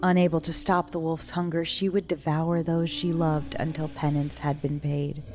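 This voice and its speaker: A woman narrating a scripted dark folk tale as a storyteller, in a measured, solemn and dramatic way. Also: deep voice, little pitch movement, over music. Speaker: storyteller, guest writer reading own story.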